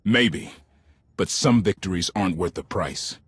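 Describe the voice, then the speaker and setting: An actor playing a principled, indignant male rebel in a video game, blunt and confrontational, with a husky voice. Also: deep voice, measured pace, fairly bright tone, no echo, exaggerated voice. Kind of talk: video game cutscene acting.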